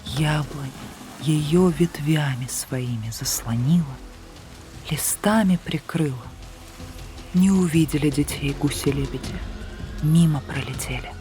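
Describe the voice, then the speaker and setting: A woman narrating a fairy tale in an unhurried, hushed manner, at times softly whispery. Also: sits low. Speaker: audiobook narrator. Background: soft background music.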